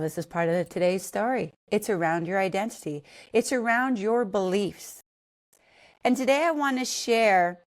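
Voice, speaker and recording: A middle-aged woman from Canada, hosting an unscripted solo podcast in a conversational way that drifts into tangents and corrects itself. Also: medium pitch. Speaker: podcast host.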